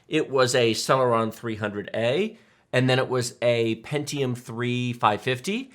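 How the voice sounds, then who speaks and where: A man in his forties co-hosting a tech live stream, telling stories casually in an animated, emphatic, enthusiastic way. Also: mid-range voice. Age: 40s. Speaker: tech show co-host.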